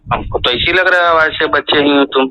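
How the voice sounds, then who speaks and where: A man's voice: a little high, steady tone, fairly quick, sharp tone, slight background noise. A man on a recorded phone call, speaking as a farm owner, questioning the caller insistently. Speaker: dairy farm owner taking a job call. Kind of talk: phone conversation. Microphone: telephone line.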